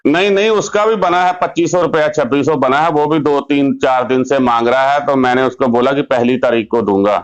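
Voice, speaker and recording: A man who owes money, calmly giving joking, unbothered excuses over a phone call. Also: medium pitch, fairly quick, hardly any pauses. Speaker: borrower. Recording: muffled phone call recording.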